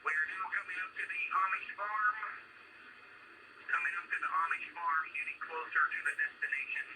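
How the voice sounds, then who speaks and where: A man's voice: on the high side, normal speed, echoey room. A man casually reporting in over CB radio as he runs a mobile test transmission. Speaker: mobile radio operator running a range test. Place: in a moving vehicle, heard over CB radio. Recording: distant, staticky CB radio with clear noise.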